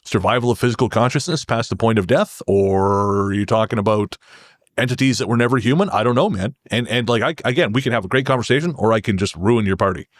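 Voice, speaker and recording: A man's voice: fairly low pitch, fast talker, no echo, studio quality. A man speaking as a podcast guest, telling anecdotes casually in a wry manner. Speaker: podcast guest, ghost show host.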